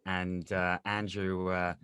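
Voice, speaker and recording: A man reminiscing casually as an interview guest, haltingly searching for his words. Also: deep voice, monotone, fairly bright tone, smooth, dry recording, studio quality. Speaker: podcast guest, actor and producer.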